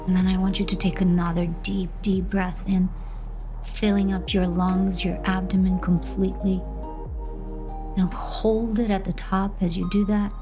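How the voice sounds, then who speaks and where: A woman leading a guided meditation in a gentle, unhurried manner, pausing between short soothing phrases of instruction. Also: mid-range voice, level tone. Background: background music.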